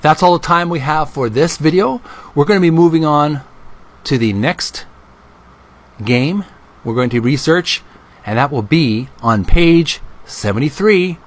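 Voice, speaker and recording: A man hosting a casual vlog, talking and reading aloud in an earnest, deliberate way with drawn-out emphasis. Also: on the high side, expressive. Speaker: video host. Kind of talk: casual vlog narration with book read aloud.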